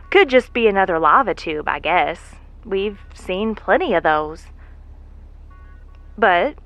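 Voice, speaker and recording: A woman's voice: mid-range voice, fairly bright tone, dry recording. An actress playing a lone rover worker, a chatty, curious woman who mutters to herself in a folksy way in an audio drama. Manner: folksy, muttering to herself, breathy sighs and hesitations.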